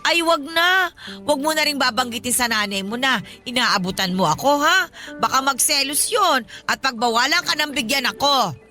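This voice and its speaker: A woman's voice: high voice, lively intonation, moderate pace, sharp tone, faint music behind. A pushy old godmother. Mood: coaxing. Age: older. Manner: pushy, coaxing. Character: old, demanding, wheedling godmother, lonely. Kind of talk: radio drama acting.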